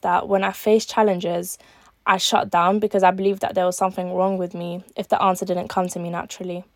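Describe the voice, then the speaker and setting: A woman, hosting her own podcast and reading an earnest, measured script that trails off quietly at the ends of lines. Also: medium pitch, no echo, studio quality. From born in Algeria, raised in East London. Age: late teens. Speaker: podcast host. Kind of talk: scripted solo podcast monologue.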